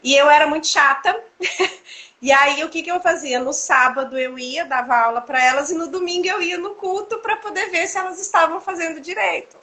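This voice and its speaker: Lively high-voiced woman in her thirties. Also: normal speed. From Cuiabá, Mato Grosso, Brazil. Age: 30s. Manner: animated, laughs often, many fillers. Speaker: church dance ministry leader, guest. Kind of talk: casual testimony chat.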